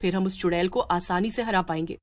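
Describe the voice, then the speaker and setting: A woman's voice: fairly low pitch, steady tone, a little fast, lightly acted. A woman voicing a bold, protective village mother in a story, speaking earnestly and insistently. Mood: urgent persuading.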